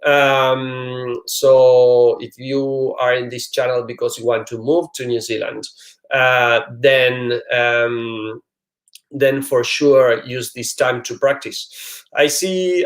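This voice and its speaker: A man's voice: mid-range voice, little pitch movement, takes it slowly. A man whose first language is Spanish, with a Spanish-speaking accent, hosting a live job-search Q&A stream in an earnest, hesitant way with many fillers and restarts. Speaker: job search Q&A host, IT recruiter.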